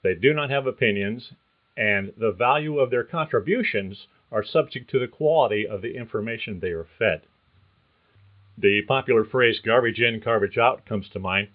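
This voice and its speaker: A man hosting a career podcast, reading a script in a measured, explanatory way. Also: on the low side, phone-quality sound. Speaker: podcast host. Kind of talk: scripted narration.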